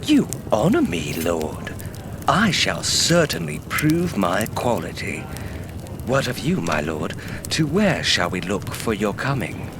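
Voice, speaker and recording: A man's voice: lowish voice, huge pitch swings, slow, background noise, full character voice. A man playing a sly, fawning villain's henchman in an audio drama, oily and theatrical.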